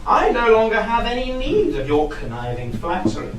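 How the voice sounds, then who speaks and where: An actor playing a suave young rake in a comic costume drama, florid, haughty and dismissive. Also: male voice, very high-pitched, huge pitch swings, moderate pace, a crowd in the background, exaggerated voice. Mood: disdainful. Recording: echoey room sound.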